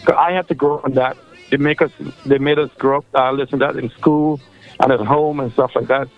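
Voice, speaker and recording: A man's voice: mid-range voice, steady tone, a little fast, soft background music. A man with a Trinidadian accent calling in to a radio show, talking in a rambling, chatty way with lots of fillers and restarts. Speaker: radio caller. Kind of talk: casual phone-in chat. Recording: dull lo-fi phone line.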